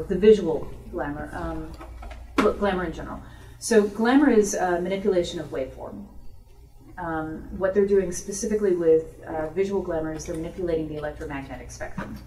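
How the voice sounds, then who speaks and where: A woman's voice: on the low side, mellow, slight background noise. A woman author answering audience questions at a book event in an informal, explanatory, conversational way. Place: bookstore event room. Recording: roomy echo, distant microphone.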